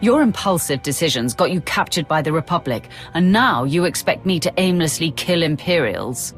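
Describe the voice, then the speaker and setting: A woman playing a stern Sith warrior in a video game, speaking with crisp, controlled authority. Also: deep voice, lively intonation, normal speed, soft background music. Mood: contemptuous. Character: stern, commanding female Sith warrior loyal to her Empire.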